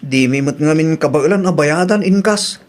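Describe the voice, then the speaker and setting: A man's voice: fairly high pitch, moderate pace. A man with an Ilocano accent playing an easygoing, cheerful husband in an audio drama.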